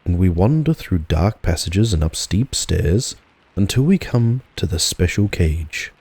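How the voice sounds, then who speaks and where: A man reads a storybook in a relaxed audiobook style. His voice is low and mellow with a husky edge. The delivery is unhurried storytelling. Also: no room sound. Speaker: audiobook narrator.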